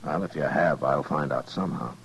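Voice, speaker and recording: Very deep-voiced weary frontier marshal. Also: male voice, gravelly, breathy edge, exaggerated voice. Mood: firm, grave. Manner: halting, understated, dry. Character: calm, weary, dogged frontier town marshal. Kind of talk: 1950s radio western acting. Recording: noisy vintage radio drama recording.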